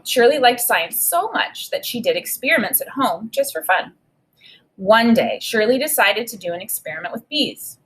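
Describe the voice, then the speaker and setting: Husky woman reading aloud to a class. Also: a little high, poor audio. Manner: reads with expressive emphasis, pauses to think aloud. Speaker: teacher. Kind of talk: read-aloud lesson with think-aloud.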